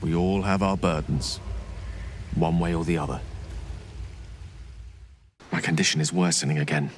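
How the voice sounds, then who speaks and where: An actor playing a grave, courteous, burdened adventurer in a video game, speaking in florid, measured phrases with a wry edge. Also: male voice, deep voice, fairly even intonation, a little hiss or hum, close to an everyday voice. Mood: weary, confiding. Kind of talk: video game character acting.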